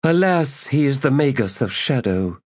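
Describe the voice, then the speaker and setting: A man doing a stern, exasperated high priestess. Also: lively intonation, normal speed, no room sound, studio quality, close to an everyday voice. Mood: curt. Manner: sharp, curt, exasperated. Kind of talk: audiobook character voice.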